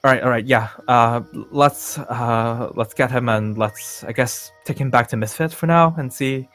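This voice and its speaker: A man's voice: mid-range voice, moderate pace, a bit bright, faint music behind, lightly acted. A man playing a nervous, forgetful celebrity in a Minecraft zombie roleplay, hesitant and stammering as he repeats his words. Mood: flustered. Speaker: roleplay player. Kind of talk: improvised roleplay acting in a Minecraft series.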